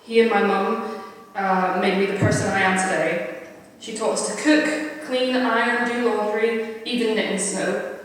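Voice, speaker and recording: A woman's voice: mid-range voice, fairly even intonation, a little slow, hardly any pauses. Woman reading in a very echoey chapel. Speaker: eulogy reader. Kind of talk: reading a prepared eulogy aloud. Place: crematorium chapel. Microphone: distant camera at the back of the room. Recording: very echoey hall, distant mic.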